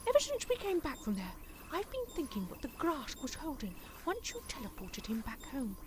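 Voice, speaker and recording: A woman playing a motherly, brisk adventurer in an audio drama, with an earnest manner. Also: sits high, wildly swooping pitch. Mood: pensive. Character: motherly, brisk female alien-fighting adventurer. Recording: clearly noisy background.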